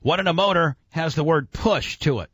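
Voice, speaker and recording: A man's voice: a little high, normal speed, a bit bright, breathy edge, no room sound, clean studio sound. A man hosting a casual radio show, explaining and quizzing with an enthusiastic, profane, teacherly manner.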